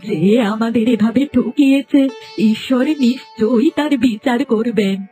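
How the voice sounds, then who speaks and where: A woman plays a pious village wife in a cartoon folktale, high in pitch. She speaks with righteous, moralising conviction. There is music under her voice. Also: unhurried, warm tone, lightly acted. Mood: consoling.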